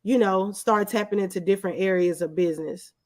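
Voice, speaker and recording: A woman's voice: medium pitch, brisk. A woman speaking as an interview guest, telling personal stories in a casual, conversational way. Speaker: interview guest, small business owner.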